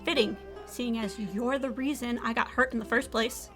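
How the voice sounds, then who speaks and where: Young female survivor, played by a woman: fairly high voice, defiant, sardonic, world-weary, audio drama with clear music bed. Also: steady tone, normal speed, fairly bright tone, close to an everyday voice. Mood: challenging. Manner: sardonic, emotionally raw. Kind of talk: scripted audio drama acting with first-person narration.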